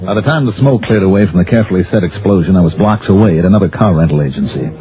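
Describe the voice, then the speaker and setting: Guy playing hardboiled radio detective narrating, low dry sardonic voice, really muffled old time radio sound. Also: rapid-fire, faint music behind, natural voice. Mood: wry narration. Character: wry, cool, hardboiled male insurance investigator.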